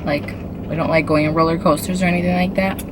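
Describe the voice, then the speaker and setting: A woman vlogging in casual, rambling chat, hoarse from a cold, full of "like" and "um". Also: low voice, fairly even intonation, fast talker. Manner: chatty, filler-heavy, with frequent "like" and "um". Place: inside a moving truck. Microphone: handheld camera. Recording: road and vehicle noise.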